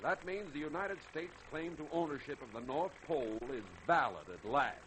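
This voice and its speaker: A man's voice: a little high, expressive, normal speed, a bit bright, faint music behind. A man acting as a jaunty, plain-spoken aviator in an old radio drama, exclaiming in a folksy way. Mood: excited. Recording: noisy old radio recording.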